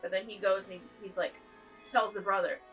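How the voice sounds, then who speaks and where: A woman co-host talks through the film in a bright, forceful voice, joking as she narrates the plot aloud. Solo piano music plays softly underneath. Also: medium pitch, fairly even intonation, brisk, poor audio. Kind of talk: casual movie-watching chat.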